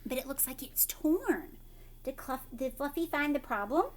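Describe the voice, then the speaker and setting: Woman, higher-pitched voice, storybook read-aloud, teacherly, animated, chatty asides to kids. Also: normal speed. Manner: animated, addresses young listeners directly.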